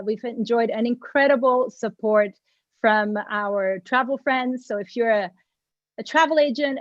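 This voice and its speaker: A woman with a somewhat high voice opens a virtual panel, effusive and gracious, thanking everyone as she goes. She speaks from a video call, and the sound is dry and a little dull. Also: dry recording. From Mexico. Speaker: panel moderator and agency founder. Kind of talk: opening remarks for a virtual panel.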